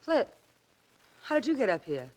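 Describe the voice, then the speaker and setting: High-voiced, flustered society woman. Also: big pitch swings, moderate pace, darkish tone, faint music behind, rough recording. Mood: flustered, curt. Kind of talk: 1940s radio drama acting.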